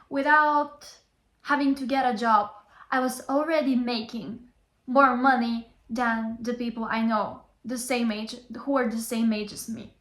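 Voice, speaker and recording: A woman's voice: on the high side, a bit bright. Earnest young woman. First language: Bulgarian. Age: young adult. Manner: earnest, repeats phrases for emphasis. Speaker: Chinese tutor. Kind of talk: motivational vlog talk. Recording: roomy echo.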